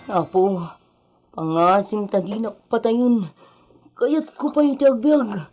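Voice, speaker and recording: A woman's voice: mid-range voice, takes it slowly, darkish tone, soft background music. A woman playing a meek, frightened captive who pleads falteringly for her life in a radio drama. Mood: fearful pleading.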